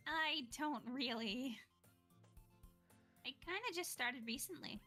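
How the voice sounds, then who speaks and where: A woman playing a cheerful, confident high school club president in a visual novel game. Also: lively intonation, slow pace, sharp tone, soft background music, exaggerated voice. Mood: sweet, friendly.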